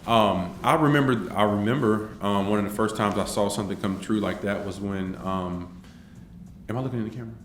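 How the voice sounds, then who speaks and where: Low-voiced man in his forties, echoey room. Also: fairly quick, slight background noise. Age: 40s. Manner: earnest and rambling, many restarts and fillers. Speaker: interviewee. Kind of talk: filmed personal interview, reflective storytelling.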